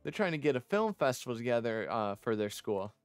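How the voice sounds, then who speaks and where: A man giving casual, chatty commentary as they show a visual novel, often halting and interrupting themselves with asides. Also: mid-range voice, big pitch swings, dry recording. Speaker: vtuber streamer showing their own visual novel. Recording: music under the voice. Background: music.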